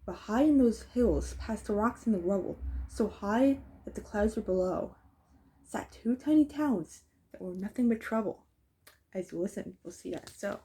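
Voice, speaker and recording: A woman's voice: medium pitch, lively intonation, normal speed, mellow, low-quality recording. A woman reading a rhyming children's story aloud, with casual asides as she goes. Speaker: storybook reader.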